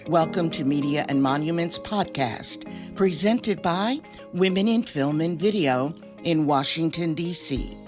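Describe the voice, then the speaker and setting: A woman's voice: deep voice, unhurried. A woman announcer reading a scripted podcast introduction with music behind her. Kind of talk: scripted narration.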